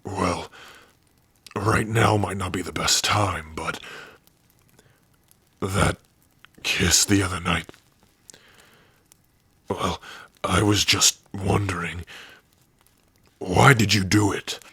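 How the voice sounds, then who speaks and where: A man voicing a big, gruff but tender orc warrior in an ASMR roleplay, shy and devoted, speaking haltingly and intimately close to the mic. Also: steady tone, no echo, heavily acted. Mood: bashful, tender. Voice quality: husky, breathy low voice. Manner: slow, halting, softly intimate. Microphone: close dry microphone.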